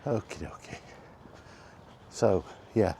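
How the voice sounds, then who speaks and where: A man's voice: fairly low pitch, animated, slow, vocal fry, noisy background. A man chatting casually in a rambling, hesitant vlog style, thinking aloud as he goes. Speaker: gaming content creator and podcaster.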